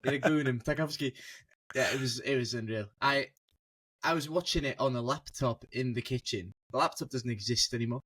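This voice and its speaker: A man's voice: mid-range voice, a little fast, a bit bright, slightly rough, dry recording. A young man as a guest on a casual football podcast, telling stories animatedly and laughing mid-sentence over a video call.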